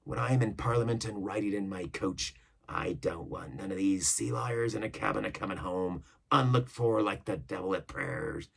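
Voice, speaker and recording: A man voicing a cunning older cook with a gravelly, breathy growl and speech, swinging between bluster and whispers. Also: low voice, moderate pace, full character voice. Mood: menacing. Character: scheming one-legged pirate cook, older man, cunning.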